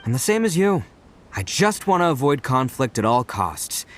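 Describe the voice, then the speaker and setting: A man playing a loud, brash gang leader. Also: moderate pace, a little hiss or hum, close to an everyday voice. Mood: earnest. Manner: loud, excitable, big swings in pitch. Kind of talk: video game cutscene dub.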